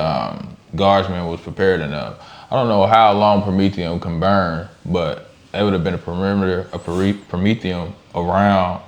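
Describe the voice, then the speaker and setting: A man with a very low voice gives casual commentary as a reaction co-host. He talks informally with slang, thinking aloud as he makes his points. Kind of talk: casual chat, off-the-cuff commentary.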